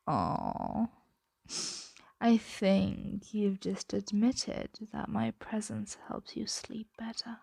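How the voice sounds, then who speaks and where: A woman voicing a possessive, doting female dragon in an ASMR roleplay, soothing and teasing in a breathy, intimate whisper. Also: a little high, drawn-out words, long pauses, mellow, dry recording, clean studio sound, exaggerated voice. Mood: teasing, smug.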